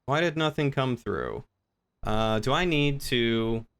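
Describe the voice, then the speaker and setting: A man's voice: medium pitch, slow, fairly bright tone, clean tone. A man livestreaming a role-play game, chatting casually with viewers and explaining things in an informal, rambling way as he thinks aloud.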